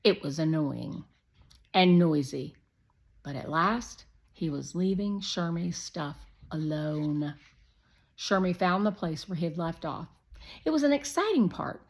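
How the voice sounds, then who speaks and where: A woman narrating a children's story aloud in an expressive, scripted read-aloud style. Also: lowish voice, a little slow.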